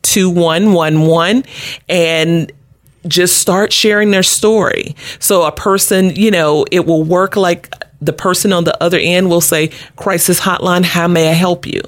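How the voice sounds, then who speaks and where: A woman's voice: fairly low pitch, fairly even intonation, measured pace, no room sound. Woman, middle-aged, interview guest, earnest and explanatory, conversational, very little room sound. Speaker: guest, domestic abuse advocate.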